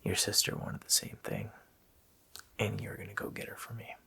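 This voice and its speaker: A wicked, child-snatching Christmas demon in an ASMR roleplay. The voice is a sharp, hissing whisper that breaks into gravelly fry. He taunts slowly, with mocking menace. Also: fairly low pitch, flat delivery, exaggerated voice. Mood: threatening. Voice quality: breathy, gravelly rasping whisper.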